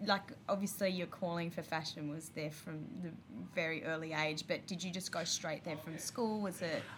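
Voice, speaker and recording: A woman's voice: low voice, fairly even intonation, normal speed, fairly bright tone, a little hiss or hum. A woman interviewing a guest in casual conversation, chiming in with short encouraging replies. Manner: brief encouraging interjections, easy laughter.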